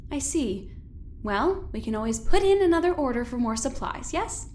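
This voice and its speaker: A woman's voice: high voice, very animated, normal speed, a little mellow, slight background noise. A woman playing a polite but calculating scientist in an audio drama, with a genteel, formal, mock-innocent manner. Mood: politely apologetic. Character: polite, smug, calculating woman scientist, needling and faux-sweet.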